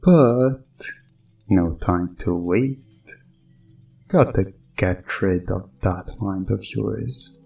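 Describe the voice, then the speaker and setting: A man playing a sinister, condescending evil doctor in a hypnosis ASMR roleplay, coaxing with mock reassurance and deliberate pauses. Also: deep voice, creaky, a little mellow, faint music behind, heavily acted. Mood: smug, menacing.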